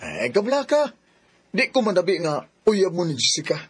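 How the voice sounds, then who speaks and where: An actor playing a brash, teasing young roommate in a Cebuano radio drama, with a husky voice and Filipino accent. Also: male voice, sits high, huge pitch swings, normal speed, a bit bright, exaggerated voice. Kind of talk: Bisaya radio drama acting.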